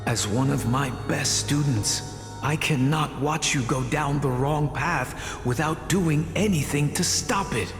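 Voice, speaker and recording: A man's voice: fairly high pitch, a little slow. An actor playing a stern but caring male teacher in a game, earnest and lecturing, stumbling over words when alarmed. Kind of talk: game voice acting. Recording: roomy echo with music behind.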